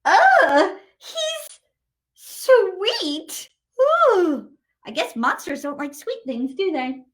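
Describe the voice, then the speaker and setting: A woman's voice: very high voice, sing-song, drawn-out words, distant mic, pitched above the performer's own voice, exaggerated voice. Woman as scornful, swooping young monster. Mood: disgusted. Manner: exaggerated sneers, howls and growls. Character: wild young monster siblings, scornful, mischievous, rowdy.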